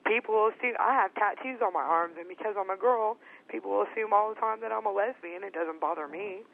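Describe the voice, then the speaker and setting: Phone caller lady, thin narrow line, gravelly creaky voice, blunt and not having it. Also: medium pitch, quick pace. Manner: blunt, dismissive, firm. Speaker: radio show caller. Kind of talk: call-in radio argument. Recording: muffled narrow telephone line.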